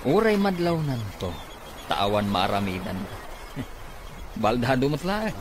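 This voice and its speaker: An actor playing a smug, greedy schemer in an Ilocano-accented radio drama. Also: male voice, mid-range voice, very animated, a little slow, noisy background. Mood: smug, menacing. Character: smug, greedy male schemer waiting to take a mansion. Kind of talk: Filipino radio comedy-drama villain acting.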